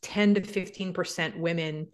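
A woman speaks as a podcast guest. Her voice sits a little low for a woman. She talks loosely, circling her points with "you know" and "like". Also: fairly even intonation, normal speed. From Canada. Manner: conversational, many fillers like "you know" and "like". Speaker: guest, real estate investor. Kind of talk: podcast interview answers.

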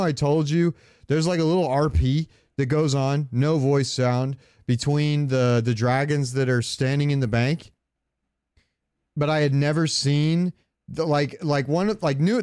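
A man's voice: fairly high pitch, a little mellow, dry recording, clean studio sound. A man co-hosting a podcast, chatting casually about video games in a rambling way with some swearing. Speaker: podcast co-host.

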